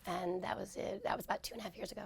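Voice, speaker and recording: Hoarse gravelly woman, 40s, chatty and self-mocking, talking in an interview. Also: mid-range voice, fairly even intonation. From New York. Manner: chatty, self-deprecating, quick asides. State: hoarse, lost voice. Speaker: interview guest. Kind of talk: casual interview talk.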